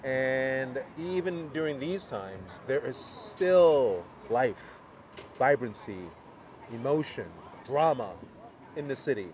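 A man's voice: mid-range voice, animated, slow pace, darkish tone. A native New Yorker man talks in a reflective, enthusiastic way, with a mid-range voice. He is outdoors, and faint street traffic hums behind him. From New York. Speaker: podcast co-host. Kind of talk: casual chat. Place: outdoors at a city restaurant.

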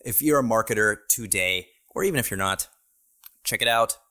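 A man's voice: fairly low pitch, expressive, moderate pace, bright, forceful tone. A man hosting a podcast interview, talking loosely with lots of fillers, restarts and swearing. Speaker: podcast host. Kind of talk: casual interview chat.

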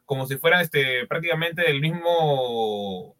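A man's voice: on the high side, lively intonation, slow pace, sharp tone. A man, co-hosting football talk and often hunting for words. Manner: searching for words, many fillers. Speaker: football show co-host.

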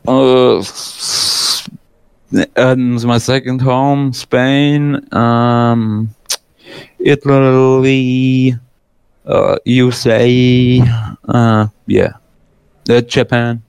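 A man's voice: mid-range voice, steady tone, drawn-out words, gravelly, clean tone, no echo. A man chatting casually, hesitant, full of fillers and restarts, swearing freely. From Germany. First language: German. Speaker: guest. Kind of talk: casual conversation.

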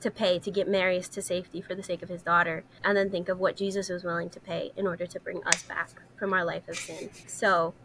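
A woman's voice: fairly low pitch, level tone, fairly quick, few pauses, noisy background. A woman co-hosting a podcast, talking casually and earnestly about stories and faith. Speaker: podcast co-host.

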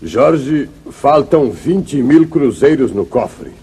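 A man's voice: medium pitch, big pitch swings, drawn-out words, dark tone, husky, exaggerated voice. An actor playing a stern, reproachful father in a Brazilian radio drama of the 1950s. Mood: stern, accusing. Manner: grave, reproachful, commanding. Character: stern, wronged father of a grown son, a rancher.

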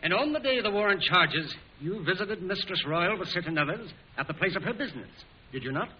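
An actor playing a formal, insistent prosecuting lawyer in an old-time radio drama. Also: male voice, high-pitched, animated, fairly quick. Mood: officious. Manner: officious, formal questioning. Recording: muffled, distant, hissy old radio recording.

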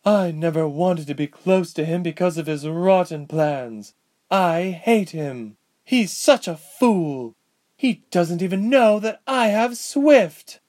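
A man's voice: a little high, sing-song, measured pace, darkish tone, pitched above the performer's own voice. A man doing a cold, vengeful sorceress. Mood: bitter. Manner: cool and indifferent, turning bitter. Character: cold, bitter, vengeful sorceress, a villain's daughter.

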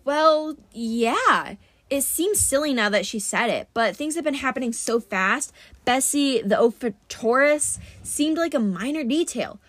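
A woman's voice: high-pitched, expressive, a little slow, a bit bright, no echo, heavily acted. A woman voicing a wry, protective teenage boy hero in an audiobook, casual and exclamatory.

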